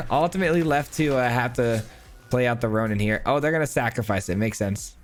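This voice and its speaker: A hoarse man, his voice wearing thin, talks his way through a card game in a chatty, upbeat stream of thought. His pitch sits in a plain middle range, with faint music playing underneath. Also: normal speed. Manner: chatty, upbeat, thinking aloud. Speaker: gaming channel host. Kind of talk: casual live gameplay commentary.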